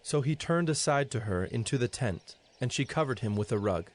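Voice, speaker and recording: A man narrating scripture in a solemn, measured storytelling manner. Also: mid-range voice, lively intonation. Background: music.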